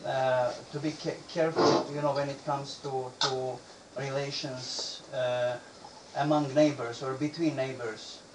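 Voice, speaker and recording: A man's voice: medium pitch, steady tone, a little slow, hardly any pauses. A man from Bosnia asking a speaker questions, hesitant, with many restarts and repeated words. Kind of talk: halting question from an audience member. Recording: echoey room, speaker far from the mic, clear background noise.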